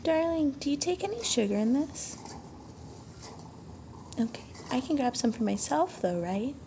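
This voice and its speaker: Woman voicing sweet young anime girlfriend, high creaky voice, soothing asmr roleplay, fussing over sick partner. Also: very animated, normal speed, a little hiss or hum, full character voice. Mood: caring, attentive. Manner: soothing, affectionate, gently coaxing.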